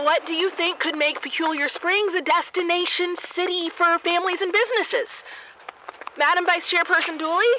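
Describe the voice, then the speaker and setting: A woman's voice: extremely high pitch, bright, forceful tone, lo-fi sound, a little hiss or hum, full character voice. A woman playing an eager, formal and anxious radio field reporter in a comedy audio drama. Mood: flustered.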